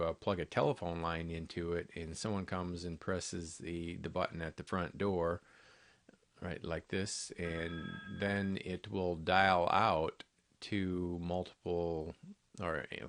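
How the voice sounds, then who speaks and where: Very deep-voiced man presenting products. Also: fairly bright tone, dry recording. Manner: plainspoken, informal, explanatory. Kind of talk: unscripted product walkthrough.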